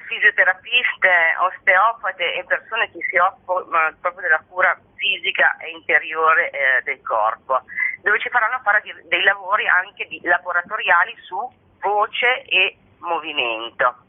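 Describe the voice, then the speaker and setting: A woman speaking as an artist presenting her work in an explanatory interview style, often checking in with short tag questions. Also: fairly low pitch. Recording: narrow tinny telephone-like line.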